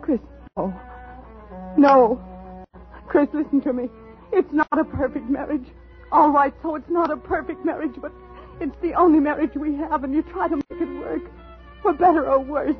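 An actress playing an anxious, clinging middle-aged wife in 1950s radio drama, with an intense, dramatic delivery. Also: female voice, high voice, steady tone, moderate pace, long pauses, soft background music, close to an everyday voice. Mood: desperate pleading. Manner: dramatic, intense delivery, swinging from hushed narration to pleading. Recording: tinny, noisy 1950s radio recording.

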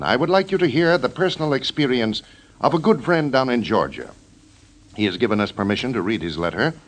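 A man announces in classic 1930s American radio style. His pitch is mid-range but swings very widely, and he reads his script formally, with strong emphasis. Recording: 1930s radio broadcast recording.

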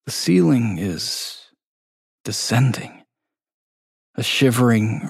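A man narrating a horror story as a bullied, despairing, bitter teenage boy, in a husky, brooding voice that often sinks toward a whisper. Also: on the low side, no echo, clean studio sound, exaggerated voice. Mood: creeping dread. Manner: slow, brooding, dramatic.

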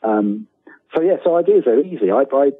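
A man's voice: mid-range voice, animated, moderate pace, slightly rough. A man talking casually as an interview guest, rambling and self-deprecating, often restarting his sentences. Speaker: interview guest, freelance writer. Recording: tinny phone-like line with room echo.

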